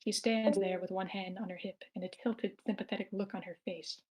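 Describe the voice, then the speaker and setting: A woman's voice: medium pitch, fairly even intonation, a little fast, a little mellow, slightly rough. A woman narrating screenplay action lines aloud at an amateur table read, in a plain manner with occasional stumbles. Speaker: stage-direction narrator.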